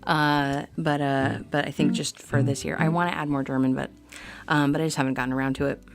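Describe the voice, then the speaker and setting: A woman hosting a live singing stream, chatting casually with viewers between songs in quick, rambling bursts full of ums and likes. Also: deep voice, flat delivery, faint music behind. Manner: reads out viewer comments and answers them. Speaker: livestream singer and host.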